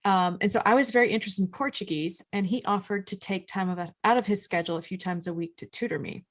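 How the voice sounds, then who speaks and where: A woman's voice: medium pitch, fast talker. A woman co-hosting a podcast who talks in a friendly, engaged way and tells her own story. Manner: friendly, engaged, gives encouraging backchannel. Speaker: podcast co-host. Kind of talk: live podcast chat, storytelling.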